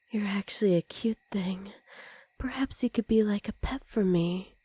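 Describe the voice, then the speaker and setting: A woman performs a possessive, smug supervillainess in an ASMR roleplay. Her voice is soft, dark and relaxed, recorded very dry and close. She teases and commands in an unhurried, confident way. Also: medium pitch, breathy edge, no echo. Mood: flirtatious, teasing. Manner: smug, teasing, commanding, often dropping to soft whispers. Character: dominant female supervillain with superpowers, teasing and possessive.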